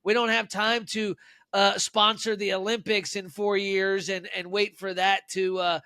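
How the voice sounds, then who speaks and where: A man's voice: sits high, little pitch movement, unhurried, sharp tone, clean tone, dry recording, clean studio sound. A man hosting a business podcast, who welcomes his guest, agrees readily and asks leading questions. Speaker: podcast host.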